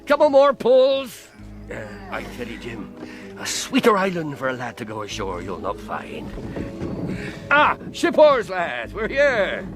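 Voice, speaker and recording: An actor playing a wily, genial, scheming pirate sea cook in a radio drama. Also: male voice, a little high, huge pitch swings, unhurried, over music. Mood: jovial.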